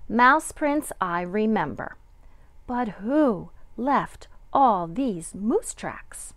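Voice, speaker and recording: A middle-aged woman, a kindergarten teacher, reading a picture book aloud to young children with deliberate, sing-song dramatic emphasis. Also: fairly high pitch, expressive, fairly bright tone, no echo.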